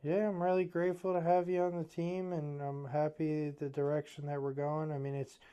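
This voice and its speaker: A man's voice: on the high side, level tone, moderate pace, dark tone, smooth. A man in his thirties with an Eastern Pennsylvania accent who owns a plumbing business, talking bluntly and motivationally with lots of fillers. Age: 30s. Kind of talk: casual business talk.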